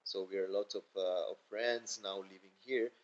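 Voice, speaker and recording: Man, low voice, dull lo-fi podcast sound; a guest who searches for words, pauses often and restarts his sentences. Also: fairly even intonation. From Italy. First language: Italian. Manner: halting, many fillers and restarts. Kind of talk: casual interview chat.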